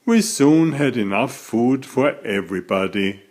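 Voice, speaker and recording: Slow, animated man reading a storybook. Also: medium pitch. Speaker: author reading aloud. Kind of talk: children's storybook narration.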